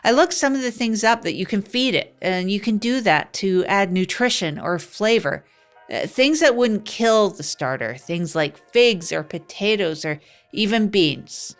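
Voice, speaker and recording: A woman plays a wry, down-and-out woman narrating her own schemes in a comedy audio drama. She rambles in a deadpan, self-mocking way at a middle pitch, with faint music underneath. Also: measured pace, natural voice. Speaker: narrator of the show. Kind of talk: improvised comic first-person narration.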